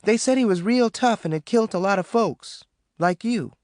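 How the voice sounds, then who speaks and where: A man's voice: sits high, moderate pace, no echo, studio quality, voice pushed up, close to an everyday voice. A man doing a timid girl. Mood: worried. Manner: hesitant, soft-spoken. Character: timid worried young woman of eighteen.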